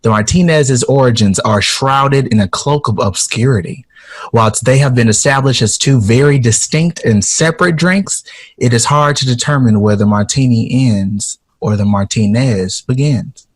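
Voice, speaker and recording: A man's voice: medium pitch, moderate pace, lightly acted. An actor playing a heartbroken, wistful male jazz composer in a 1960s audio drama. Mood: musing.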